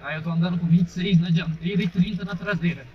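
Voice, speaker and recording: A man's voice: high voice, quick pace. A young Brazilian man chatting casually to his viewers while riding a motorcycle on a dirt road, recorded on a helmet camera. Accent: Brazilian Portuguese. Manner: chatty, addresses viewers as "rapaziada". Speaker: motovlogger. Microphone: helmet-mounted action camera. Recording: muffled helmet camera with engine and wind noise.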